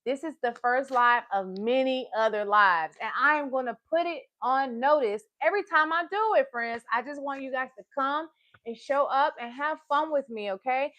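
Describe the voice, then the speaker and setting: A woman hosting a children's storytime livestream, chatting to small children and parents in an animated, encouraging, sing-song manner. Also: sits high, moderate pace, dry recording.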